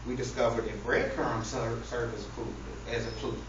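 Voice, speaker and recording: A man's voice: medium pitch, steady tone, normal speed. Man, public speaker, joking preacher-like delivery, heavy room echo, muffled recording. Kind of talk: humorous persuasive speech to a live audience. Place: echoey indoor room with an audience. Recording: distant, very echoey, noisy, muffled room recording.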